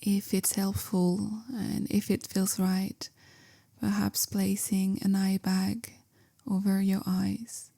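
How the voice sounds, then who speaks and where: A woman leads a guided meditation with gentle, measured calm. Her voice is mellow and even in pitch, settling into a soft creak. Phrases often trail off into a breathy near-whisper. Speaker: meditation guide, yoga teacher. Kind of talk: guided meditation, slow soothing instruction.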